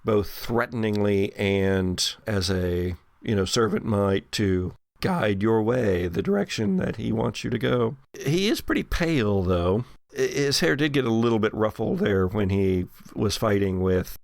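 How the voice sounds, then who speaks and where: A man with a low, creaky voice, recorded close and dry, runs a tabletop horror game. He narrates scenes descriptively, pausing and feeling his way through the story as game master. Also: lively intonation, a little mellow, no room sound. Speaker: game master (the Keeper) of an actual play podcast.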